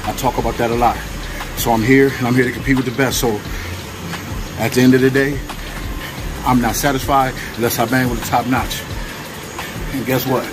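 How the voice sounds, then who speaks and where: Gym vlog dude, slangy and pumped up, music going behind him. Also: male voice, medium pitch, flat delivery, normal speed, long pauses. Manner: hyped, swearing, slangy. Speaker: fitness vlogger. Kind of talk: casual motivational vlog talk.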